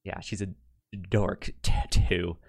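A man with a stutter chatting casually as a podcast co-host, explaining things haltingly with repeated sounds and restarts. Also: deep voice, level tone, dry recording, studio quality. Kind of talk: casual chat recapping sitcom episodes.

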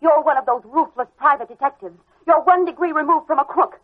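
An actress playing a feisty, headstrong, sharp-tongued lady in a 1940s radio detective drama. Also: sits high, brisk, lightly acted. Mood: demanding, angry. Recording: muffled old radio drama recording.

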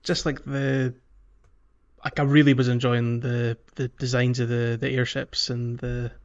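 A man with an average-pitched voice discusses films casually, as a podcast co-host would. He hesitates and searches for words. The sound is a little dull and muffled. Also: flat delivery, a little slow, clean tone. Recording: slightly dull, muffled audio.

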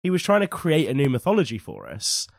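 A young man co-hosting a casual podcast, a little husky from a cold, opinionated and teasing. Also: medium pitch, huge pitch swings, fairly quick, no room sound, clean studio sound. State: recovering from a cold, slightly husky. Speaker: podcast co-host. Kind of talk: casual chat.

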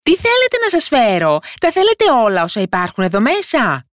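An adult narrator playing a tiny storybook boy with a very high, swooping voice. He is bold and cheeky, calling out as if he wants the whole house to hear. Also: wildly swooping pitch, dry recording, clean studio sound. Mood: loud, mischievous. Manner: bold, mocking, calling out loudly. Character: tiny cheeky boy, thumb-sized fairy-tale hero. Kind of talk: storybook character voice.

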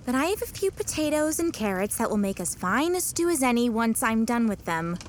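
A woman's voice: fairly high pitch, sing-song, fairly quick, slight background noise. A woman playing a dutiful, modest young cottager's daughter in a radio play, speaking in old-fashioned phrases. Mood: rueful, hopeful.

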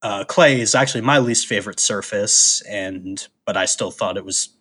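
Slightly husky man discussing sports. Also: fairly low pitch, moderate pace, fairly bright tone. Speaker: podcast co-host. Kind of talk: casual opinionated sports discussion.

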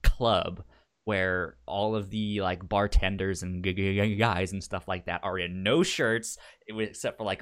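A man with a somewhat low voice co-hosts a relaxed book podcast. He riffs on jokey ideas, often stammering over a word and starting it again before he gets it out. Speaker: podcast co-host. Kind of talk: casual book discussion.

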